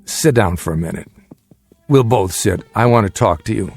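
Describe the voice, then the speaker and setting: An actor in a radio drama playing a weary middle-aged father, gentle but guarded and measured in his delivery. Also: lowish voice, animated, faint music behind. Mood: earnest. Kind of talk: naturalistic radio drama acting.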